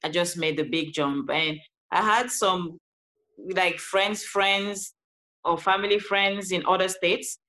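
A woman from Cameroon with a Cameroonian accent, telling her story as an interview guest in an animated way with frequent laughs. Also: on the low side, takes it slowly. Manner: animated, laughs often, restarts sentences. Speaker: guest, life coach.

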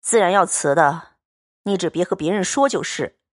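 A woman's voice: lowish voice, animated, normal speed, dry recording, clean studio sound, voice pushed down, close to an everyday voice. A woman voicing a proud, cold young swordsman who speaks curtly and with a sneer. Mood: cool, curt. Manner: curt, coldly sneering.

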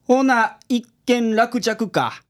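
A woman playing a gruff, blunt male detective boss with a Kansai accent and a teasing streak in a radio drama. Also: fairly high pitch, lively intonation, extremely slow. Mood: casual, approving. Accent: Kansai Japanese.

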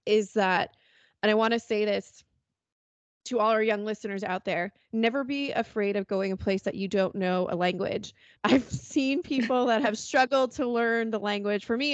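A woman hosting a podcast, chatting in a relaxed way and laughing often. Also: mid-range voice, dry recording, lo-fi sound. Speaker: podcast host. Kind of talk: casual podcast chat.

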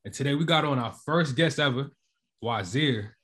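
A man's voice: mid-range voice, moderate pace, a bit bright. A man hosting a casual podcast interview, speaking informally with slangy phrasing. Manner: informal, slangy, filler-laden. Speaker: podcast host.

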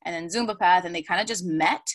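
Female podcast guest with an American accent, slightly low pitch, casual conversational interview style. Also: fast talker, dry recording, studio quality. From Dominican Republic, raised in the United States. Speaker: podcast guest, wellness coach and Zumba instructor.

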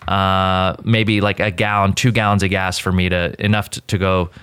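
A man with an American accent, a show co-host, telling a casual, self-deprecating anecdote in a slightly rough voice. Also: sits low, normal speed, no echo, clean studio sound. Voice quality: slightly rough voice from screaming the night before. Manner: self-deprecating, animated retelling with acted-out quotes.

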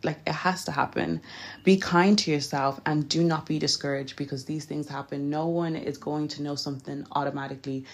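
A woman's voice: sits low, fairly even intonation, brisk. A young woman from Nigeria with a Nigerian accent, talking casually and earnestly to listeners about motivation, direct and encouraging with the odd self-correction. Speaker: podcast host.

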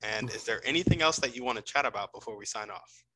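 A man hosting a podcast, asking questions in a measured, encouraging way. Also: mid-range voice, pushed and bright, breathy edge, poor audio. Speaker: podcast host. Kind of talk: interview questions.

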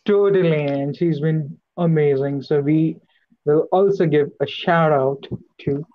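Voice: medium pitch, a little slow, lo-fi sound. A co-host of a live online show, introducing a guest with effusive praise and many fillers, over a video call.

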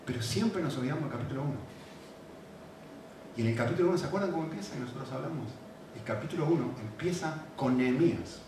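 A man with a Rioplatense Spanish accent preaching a sermon, animated and repetitive, often checking in with questions to the congregation. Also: on the high side, fast talker, background noise. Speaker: pastor. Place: church. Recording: very echoey hall, mic at a distance.

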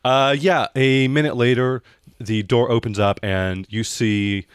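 A man's voice: mid-range voice, steady tone, unhurried, clear, resonant tone, dry recording, clean studio sound. A man running a tabletop role-playing game as game master, improvising the narration in a conversational way.

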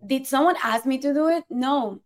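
A woman's voice: high-pitched, moderate pace. A young woman from Puerto Rico, a native Spanish speaker with a Puerto Rican accent, earnestly telling her story as an interview guest. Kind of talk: conversational storytelling.

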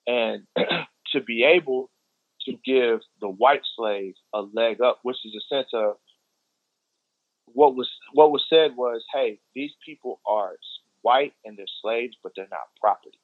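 An American man speaks in a casual, explanatory way, stressing points by repeating himself. His pitch sits in the middle for a man. The sound is very dry, with a dull, narrow band. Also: unhurried, dry recording. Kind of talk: casual conversational discussion, explaining at length.

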